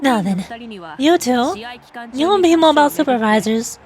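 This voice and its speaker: Woman voicing a high-pitched, confident warrior leader. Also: expressive, normal speed, a little mellow. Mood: assured, instructing. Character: bold, confident female warrior leader on a motorbike. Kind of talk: dramatic voice-over of video game dialogue. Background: music.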